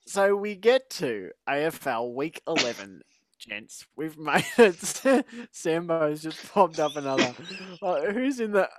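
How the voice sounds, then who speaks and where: A man's voice: a little high, sing-song, slow, very noisy. A man hosting a casual podcast chat, rambling and teasing his co-hosts with lots of laughter. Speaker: podcast host.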